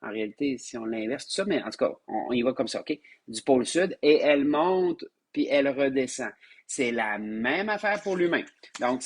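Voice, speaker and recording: A man with a Québécois French accent gives a live-streamed spiritual lesson, hoarse from a sinus infection, who repeats words and restarts often and calls his listeners his loves. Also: mid-range voice. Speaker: spiritual teacher.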